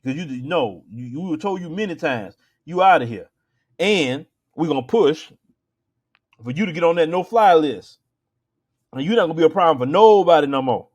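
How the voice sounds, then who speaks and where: A man's voice: on the high side, animated, normal speed. An American man hosting a show, giving casual opinion commentary in an emphatic, colloquial, conversational way.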